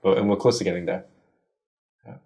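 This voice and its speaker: A man's voice: deep voice, fairly even intonation, fairly quick, mellow. A man from Singapore, a guest on a podcast interview, explaining his ideas persuasively in long run-on lists. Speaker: guest, tech founder.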